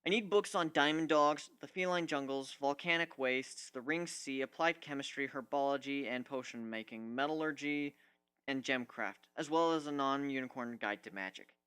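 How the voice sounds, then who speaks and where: A man's voice: medium pitch, normal speed, a bit bright, clean tone, lightly acted. A man voicing a proud, embittered young griffin warrior in a story reading, blunt and emphatic. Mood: matter-of-fact.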